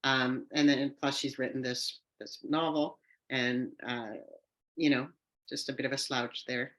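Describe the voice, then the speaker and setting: A woman with a very low voice hosts the panel, chatting loosely and laughing as she passes questions around. Her first language is Hungarian. She speaks on a video call. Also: little pitch movement, unhurried, fairly bright tone, rough recording. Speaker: host. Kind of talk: casual panel hosting.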